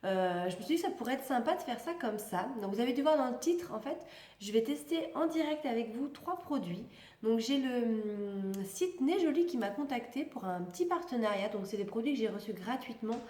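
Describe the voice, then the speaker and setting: A woman's voice: on the high side, animated, a little fast, mellow, reverberant. A young woman with a stuffy nose from a cold, chatting casually and rambling as she tests beauty products in a vlog. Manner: chatty, rambling, self-correcting, laughs often. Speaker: beauty vlogger.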